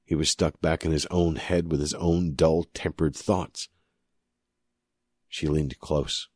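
A man's voice: a bass voice, steady tone, slow pace, a little mellow, slightly rough, dry recording, clean studio sound. A man narrating scripted fiction as an audiobook reader.

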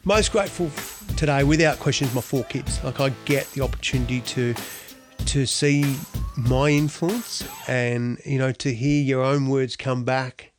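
A man's voice: mid-range voice, steady tone, normal speed, soft background music. A middle-aged Australian man telling his story earnestly as a podcast guest, with frequent restarts and a bit of mild swearing. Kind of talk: candid interview storytelling.

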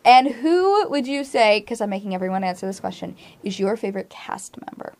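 A woman's voice: mid-range voice, a little fast, darkish tone. A woman asking questions as an interviewer in a casual, informal way.

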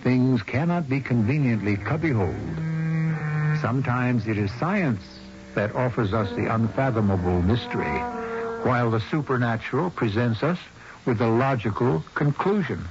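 A man hosting and narrating a 1970s radio drama, with measured, philosophical delivery and dramatic emphasis. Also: mid-range voice, lively intonation, background noise. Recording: dull old radio broadcast with music.

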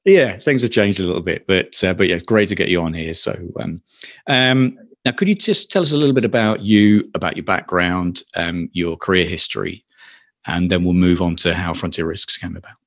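Low-voiced guy hosting a podcast, polite, chatty, some ums. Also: a little fast, a bit bright. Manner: friendly, polite, with fillers. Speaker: podcast host.

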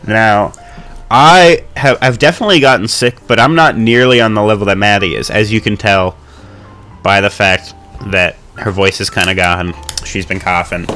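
A man's voice: on the low side, moderate pace, bright, forceful tone, faint music behind. A young man co-hosting a podcast while stuffed up with a cold, telling stories in a self-mocking, casual way. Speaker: podcast co-host.

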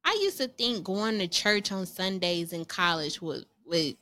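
A woman in her thirties co-hosting a podcast, talking candidly and casually, slowing down when she reflects. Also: fairly low pitch, lively intonation, sharp tone. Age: 30s. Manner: candid, chatty, slows down to reflect. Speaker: podcast co-host. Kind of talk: casual chat.

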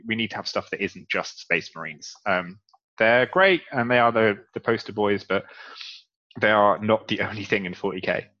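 A man's voice: fairly low pitch, normal speed, bright, forceful tone, lo-fi sound. A man co-hosting a casual podcast, talking with many ums as he explains game lore at length. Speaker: podcast co-host.

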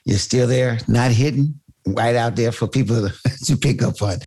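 A man hosting a podcast, chatting casually and warmly encouraging his guests. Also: medium pitch, normal speed, breathy edge. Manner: friendly, encouraging, laughs easily. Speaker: podcast host. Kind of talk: casual interview chat.

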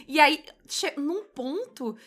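A woman's voice: high voice, animated, slow, a bit bright. A Brazilian woman co-hosting a podcast in casual, bantering chat, telling stories in a playfully bossy way. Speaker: podcast co-host.

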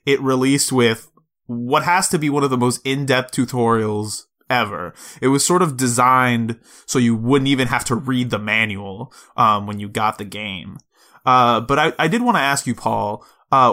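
Bright-voiced man hosting a casual podcast. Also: mid-range voice. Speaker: podcast host. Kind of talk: casual podcast chat about retro video games.

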